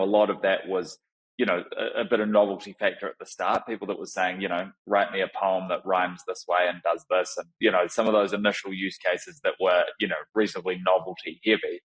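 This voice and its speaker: A man from New Zealand with a clear New Zealand accent speaks in a fairly low voice. He's a middle-aged founder answering podcast questions, unhurried and reflective, often circling back and restarting as he thinks aloud. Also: a bit bright, poor audio. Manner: thoughtful, many fillers and restarts. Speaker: founder and CEO, guest. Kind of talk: conversational interview.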